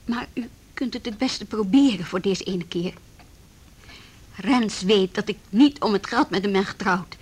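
A woman's voice: medium pitch, measured pace, husky. An actress playing a proud, defiant young policewoman in a Dutch radio play, indignant and pointed yet formally polite.

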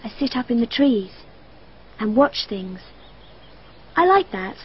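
A precocious, dreamy 12-year-old girl talks about dark things with calm earnestness. Her voice is high and very lively in pitch. The archive radio sound is dull with faint hiss. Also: slow. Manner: earnest, matter-of-fact, odd.

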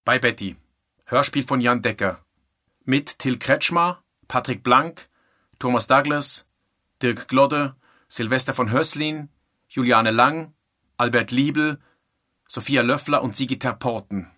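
A man's voice: mid-range voice, measured pace, pauses often, sharp tone. A man reading scripted radio drama credits as an announcer.

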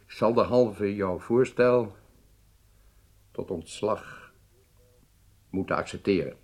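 A male actor playing a stern head of state in a radio drama, speaking formally and deliberately with emphatic phrasing. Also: lowish voice, darkish tone. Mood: grave reproach.

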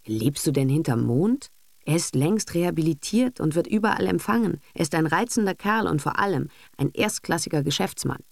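A woman's voice: deep voice, expressive, moderate pace, creaky, dry recording, exaggerated voice. A woman voicing a self-assured, cynical businessman and father, bossy, dismissive and sardonic, in a literary audiobook reading. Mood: impatient, dismissive. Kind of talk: literary audiobook character acting.

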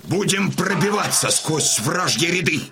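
A fierce, high-pitched old Cossack colonel. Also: male voice, very animated, measured pace, bright, forceful tone, slight background noise, full character voice. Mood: urgent, stubborn. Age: older. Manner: commanding, fervent declamation. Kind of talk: dramatised audiobook acting.

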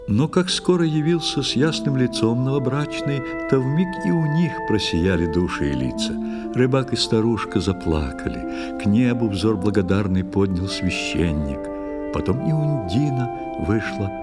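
A man narrating a poetic audio drama in verse with expressive, literary declamation. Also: on the low side, normal speed, over loud music.

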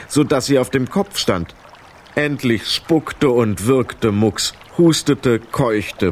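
A man narrating a children's radio play as an expressive storyteller. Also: mid-range voice.

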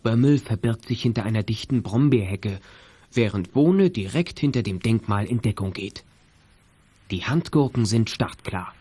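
A man's voice: lowish voice, expressive, normal speed, dark tone, breathy edge. A man reading scripted story narration for a children's radio play.

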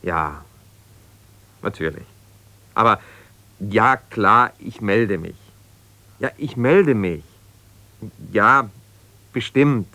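An actor playing an evasive, ambitious lawyer whose marriage has broken up, talking haltingly and reassuringly yet with exasperation in a naturalistic radio drama. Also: male voice, lowish voice, expressive, sharp tone, no room sound, heavily acted. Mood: soothing, strained. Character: ambitious lawyer husband, evasive, placating, strained, newly separated. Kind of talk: naturalistic 1970s German radio drama, one-sided phone calls.

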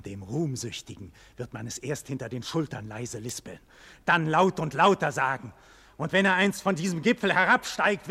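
A man's voice: on the high side, bright, forceful tone, exaggerated voice. An actor playing a proud, defiant condemned nobleman, indignant and bitter, declaiming in formal theatrical style in a classic German radio play. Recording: long reverberant hall, radio drama production.

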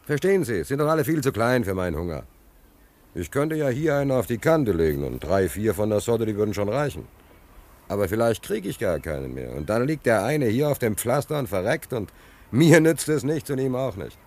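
An actor playing a talkative, wry, soft-hearted homeless angler in a German radio play, colloquial and repeating himself. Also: male voice, medium pitch, big pitch swings, a little fast. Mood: defensive, plaintive.

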